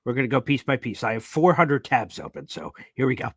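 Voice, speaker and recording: A man hosting a live sports talk show, walking through standings with growing excitement. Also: medium pitch, brisk, breathy edge, dry recording, studio quality. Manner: excitable, hyped breakdown of standings.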